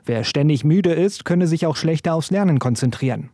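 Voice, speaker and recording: Mellow male news correspondent. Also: fairly high pitch, normal speed, dry recording, clean studio sound. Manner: steady, scripted delivery. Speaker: radio correspondent. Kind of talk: news report.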